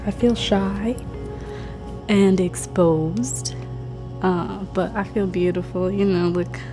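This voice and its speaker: A woman in her twenties speaking softly and openly. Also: medium pitch, steady tone, slow pace, over music. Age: 20s. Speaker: participant.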